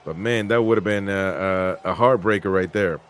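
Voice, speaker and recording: A man's voice: low-pitched, moderate pace, smooth. A man from Peru hosting a casual live football stream, chatting about the match and reading viewer comments aloud.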